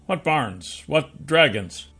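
A man's voice: fairly high pitch, expressive, takes it slowly, sharp tone, slightly rough, faint music behind, voice pushed up, full character voice. A man voicing a clawed dragon hero, firm, protective and questioning, in an audiobook. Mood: alarmed.

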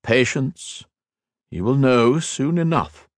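A man voicing a cold, mocking villainous male sorcerer who taunts slowly and theatrically. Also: medium pitch, lively intonation, dry recording, clean studio sound, voice pushed up. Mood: taunting.

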